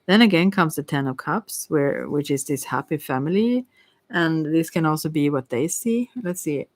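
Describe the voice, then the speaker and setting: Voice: medium pitch, animated, moderate pace, no room sound. Hesitant tarot reader, very dry close sound. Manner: hesitant, rambling, musing delivery. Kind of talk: casual ASMR tarot reading.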